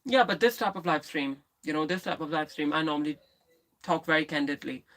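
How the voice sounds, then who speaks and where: Brief: a woman streamer with a lisp and a bright, forward tone, talking frankly to her viewers and often breaking off mid-sentence. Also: low-pitched. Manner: candid and rambling, with frequent restarts and pauses. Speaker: live streamer. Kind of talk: casual live stream chat, answering viewers.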